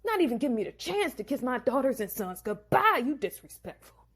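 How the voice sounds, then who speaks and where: A woman doing a ranting, high-pitched angry father-in-law. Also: very animated, fairly quick, slightly rough. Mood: indignant ranting. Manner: slangy, ranting, possessive. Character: angry scheming older man, a father-in-law.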